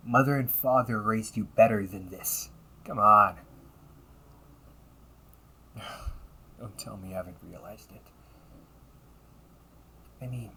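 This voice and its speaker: A man voicing a cunning, condescending villain and older brother in an audio roleplay, taunting and theatrical, often dropping toward breathy near-whispers. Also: on the low side, bright, forceful tone, exaggerated voice. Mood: scolding, teasing. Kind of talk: audio roleplay monologue addressed to the listener.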